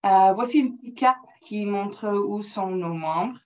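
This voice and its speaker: A woman whose first language is English presenting slides in French, careful and halting with non-native phrasing, over a computer mic on a video call. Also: mid-range voice, a little mellow. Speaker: project officer presenting. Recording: dull lo-fi call audio.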